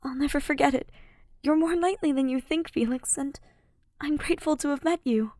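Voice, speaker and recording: A woman voicing an earnest, tender young male knight in a fan fiction audiobook, speaking softly and hesitantly from the heart. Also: high voice, fairly quick, no echo, studio quality, pitched above the performer's own voice, close to an everyday voice. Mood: tender, grateful.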